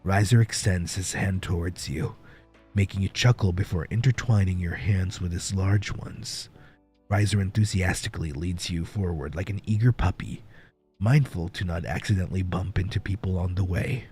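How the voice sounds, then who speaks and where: A man livestreaming in a playful, casual way, chatting and reading stories aloud with a husky voice. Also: sits low, moderate pace, a little mellow. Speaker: livestreamer, virtual streamer. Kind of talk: casual livestream chat and fan fiction reading. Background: background music.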